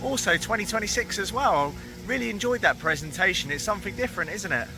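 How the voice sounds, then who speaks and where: A man vlogging at a theme park in the evening, chatty and tired, his voice hoarse from a cold. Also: sits high, animated, fast, over music, poor audio. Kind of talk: casual vlog to camera.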